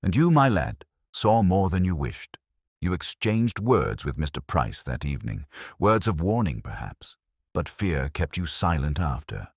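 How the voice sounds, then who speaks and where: A calm, courteous inspector in a spoken mystery, as a male narrator gives him voice. He speaks in a measured, quietly probing way, his tone very deep but rising and falling freely. The sound is dry and close. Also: no echo, full character voice.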